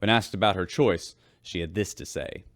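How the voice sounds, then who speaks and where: Deep-voiced, weary male space radio host, acted. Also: dry recording, close to an everyday voice. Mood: weary, concerned. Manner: measured, wry. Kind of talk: news narration in a sci-fi audio drama.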